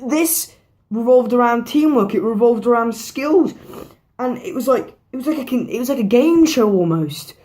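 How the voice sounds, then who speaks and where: A woman talks through a horror film in a loose, chatty review, her voice hoarse and worn down. She rambles, restarts her sentences and drops swear words without a second thought. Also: a little high, lively intonation, moderate pace, dark tone. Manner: rambling, swears freely, repeats herself. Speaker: movie reviewer. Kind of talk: casual movie review vlog.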